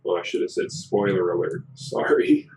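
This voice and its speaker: A hoarse man with a cold walks through a game in casual, rambling commentary, full of fillers. His voice is raspy and gravelly and sits on the low side. A faint hiss runs under the recording. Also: wildly swooping pitch, moderate pace, a little mellow. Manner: rambling, filler-heavy explaining. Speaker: gaming channel host.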